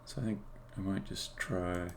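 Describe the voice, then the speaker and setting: A man live-streaming game development, thinking aloud in a casual, halting way as he works, often muttering to himself. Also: sits low, vocal fry. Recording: faint noise, keyboard typing and clicks. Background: computer keyboard typing and mouse clicks.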